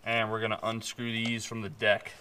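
Young man, casual how-to over clear noise. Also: lowish voice, completely flat delivery, moderate pace. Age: young adult. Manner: informal, hands-on explaining. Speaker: host of an off-road install channel. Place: home garage, working around a vehicle. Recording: noisy garage action-camera audio with clicks.

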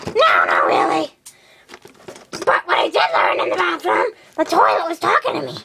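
Screeching, gravelly little game creature. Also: female voice, very high-pitched, huge pitch swings, moderate pace, shouting, over a noisy crowd, heavy background noise, full character voice. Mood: deadpan, loud. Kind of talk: improvised amateur role-play skit.

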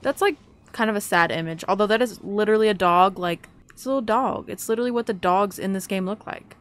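Chatty young woman, medium pitch, gaming commentary. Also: quick pace. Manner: chatty, rambling. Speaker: gaming YouTuber. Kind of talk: casual let's-play commentary.

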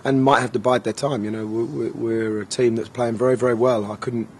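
Man, 30s, football manager, post-match answers, measured and filler-heavy, recorders outdoors, light street noise. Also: medium pitch, steady tone. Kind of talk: post-match press interview. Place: outdoor press area by a sponsor backdrop. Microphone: handheld recorders held close.

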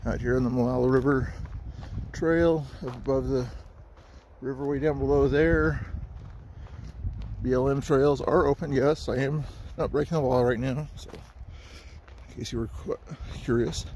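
A man's voice: mid-range voice, steady tone, moderate pace, a little mellow, slight background noise. A middle-aged man with a sniffly voice, chatting easygoing to his phone camera as he hikes a forest trail. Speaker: hiker vlogger. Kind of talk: casual rambling hike narration.

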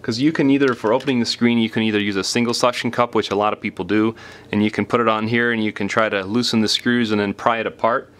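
A man's voice: lowish voice, steady tone, fast, a bit bright. A man hosting a do-it-yourself tutorial, explaining each step in a plain, informal way as he demonstrates. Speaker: repair tutorial host. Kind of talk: casual step-by-step hands-on demonstration.

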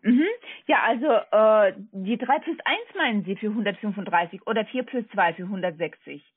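A non-native speaking woman with a foreign accent, working as a call center agent, giving a halting, repetitive, polite sales pitch over the phone. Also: husky, no echo. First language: not German. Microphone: telephone. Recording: tinny, narrowband phone line.

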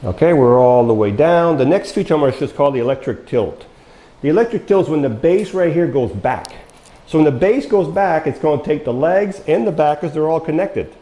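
An older man walks viewers through a product demo in a plain, unhurried way, calling them folks as he goes. His voice is mellow and dark, worn thin from a long day of talking. The big bare room gives it a noticeable echo. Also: fairly high pitch, expressive. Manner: folksy, addresses viewers as folks, explains step by step. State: losing his voice, coughing. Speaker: mobility dealer demonstrating a wheelchair. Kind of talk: product demonstration talk. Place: large bare showroom studio, indoors. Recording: echoey room.

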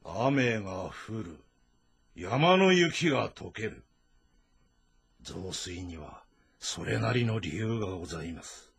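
A male actor playing a blunt, calm physician of ancient China, speaking formally and deferentially in an audio drama. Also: on the low side, creaky, breathy edge, full character voice. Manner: measured, deferential, matter-of-fact. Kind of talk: audio drama dialogue in formal, old-fashioned speech. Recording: voice over background music.

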